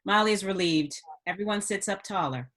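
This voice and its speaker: A woman's voice: mid-range voice, a little fast, fairly bright tone. A woman reading stage directions as scripted narration for a table read.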